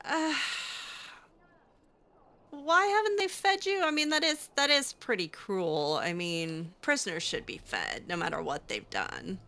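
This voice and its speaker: A woman gamer improvising in character in a casual, hesitant, halting way as she plays. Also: medium pitch, animated, pushed and bright. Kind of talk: improvised casual roleplay commentary over a game.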